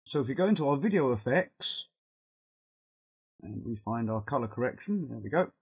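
A middle-aged man with a cold and a fading, husky voice, talking haltingly through an informal step-by-step software tutorial and thinking aloud as he works. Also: mid-range voice, lively intonation. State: has a cold, losing his voice. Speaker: video editing tutorial presenter.

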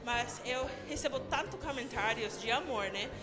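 Woman, young adult, American accent, native English speaker, laughing, halting learner speech, high voice, music behind. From United States. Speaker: guest youtuber. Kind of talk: interview answers. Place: indoor studio set. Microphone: handheld mic held by interviewer.

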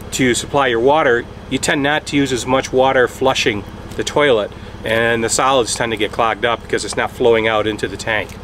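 Male RV vlogger, 40s, mid-pitched, matter-of-fact, outdoor to-camera take with faint engine noise. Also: fairly bright tone, low-quality recording. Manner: plain and matter-of-fact, with frequent ums. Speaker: RV travel vlogger. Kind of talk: casual on-camera explanation. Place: outdoors at a wooded campground beside a pickup truck. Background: idling truck engine.

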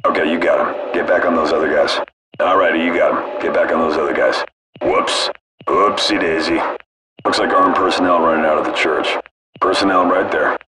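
Deep-voiced military gunship crewman over noisy radio. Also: fairly even intonation, normal speed. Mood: calm, detached. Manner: clipped, casual, detached. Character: cool, detached male military gunship crewman. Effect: military radio filter.

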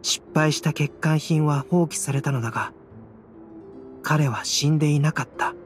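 A man playing a calm, cerebral young alchemist in a Japanese anime game, speaking in a measured, soft-spoken, enigmatic way. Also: a little high, close to an everyday voice. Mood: solemn storytelling. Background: background music.

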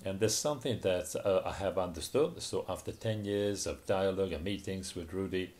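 A man's voice: low voice, normal speed, darkish tone. A man giving a simultaneous interpretation of a conference talk, hesitant and full of fillers and restarts.

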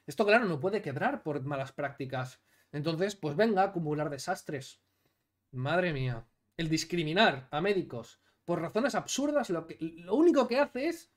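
A man in his thirties from Catalonia giving indignant, emphatic commentary on clips, seated in a small room. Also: fairly high pitch. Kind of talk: opinionated commentary video. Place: small room with blue LED lights, indoors.

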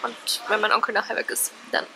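A woman's voice: fairly quick, roomy sound, poor audio. A young woman in her twenties chatting casually to her camera at home, laughing often. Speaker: vlogger. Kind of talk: casual vlog chat. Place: apartment indoors. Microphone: handheld camera at arm's length.

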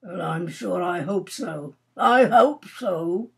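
A woman's voice: lowish voice, takes it slowly, vocal fry, breathy edge, heavily acted. A woman voicing a morose, moody Major, grumbling, in a storybook reading. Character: morose, moody male Major, keen fox hunter.

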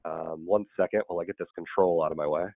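A hoarse man with a raspy voice that keeps fading, speaking low and halting through a webinar talk. The sound is thin and phone-like, and dry with no room echo. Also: fairly even intonation. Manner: halting, many ums and restarts. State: hoarse from a sore throat. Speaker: state weatherization program staff, webinar presenter. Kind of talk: webinar presentation.

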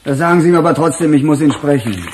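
An actor playing a weary, grumbling police chief with a husky voice in a radio crime drama. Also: male voice, on the high side, expressive, quick pace, darkish tone, a little hiss or hum, natural voice. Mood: firm.

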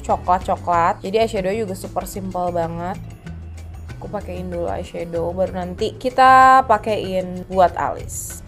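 A young woman with a stuffy, blocked nose from a heavy cold, chatting casually through a makeup tutorial at home, with offhand self-deprecating asides. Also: medium pitch. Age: young adult. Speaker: beauty vlogger. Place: small indoor room at home, rain outside.

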